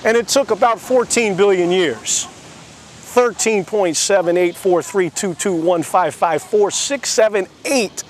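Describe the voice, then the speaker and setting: High-voiced man telling a sarcastic fairy tale. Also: a little hiss or hum. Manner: mock-naive, sarcastic explaining. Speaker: narrator. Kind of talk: satirical storytelling narration.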